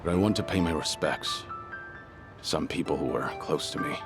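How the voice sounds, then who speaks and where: An actor playing a grave but gentle aging yakuza legend in a game cutscene, low and gravelly, speaking with measured restraint. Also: male voice, music in the background. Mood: calm, warm. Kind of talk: video game cutscene acting.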